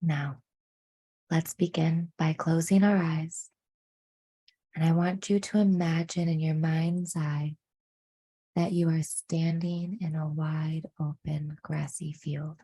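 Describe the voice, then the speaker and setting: A woman leading a guided meditation in a hushed, soothing manner, with deliberate phrasing and frequent pauses. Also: sits low, little pitch movement, husky.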